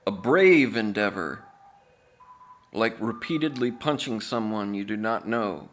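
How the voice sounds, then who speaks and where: A man reading aloud as an author, in a deadpan, measured manner with deliberate pauses. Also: on the low side, a little hiss or hum. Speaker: author reading own book.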